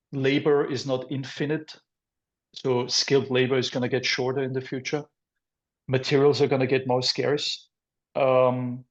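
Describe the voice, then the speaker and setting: Man, middle-aged, from Austria, monotone, measured with pauses, dull lo-fi sound. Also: medium pitch. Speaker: guest, business development director. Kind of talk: interview answers.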